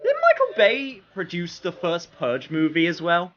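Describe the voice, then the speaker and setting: A man's voice: high voice, huge pitch swings, measured pace, fairly bright tone, lo-fi sound. A man livestreaming a game with casual, rambling commentary full of jokey asides.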